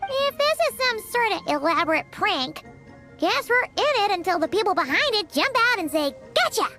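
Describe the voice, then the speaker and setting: A woman voicing a tiny, excitable childlike fairy companion in a video game, with a squeaky voice and exclamatory delivery. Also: normal speed, faint music behind, exaggerated voice. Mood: puzzled, speculative. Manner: exclamatory, refers to herself in third person. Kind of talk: video game character acting.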